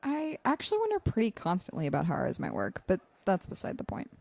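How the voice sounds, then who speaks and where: An actress playing a young female student in an audio drama, with a lowish voice and lots of intonation. She is cerebral and analytical, a little awkward. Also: huge pitch swings, fast talker, vocal fry, darkish tone, heavily acted. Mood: curious, analytical. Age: young adult.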